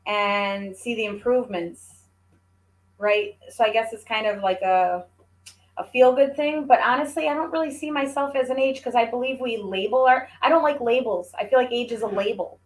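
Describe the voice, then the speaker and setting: A woman's voice: on the high side, low-quality recording. A woman in her forties chatting casually, talking things through in a reflective, explanatory way. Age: 40s. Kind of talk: casual chat.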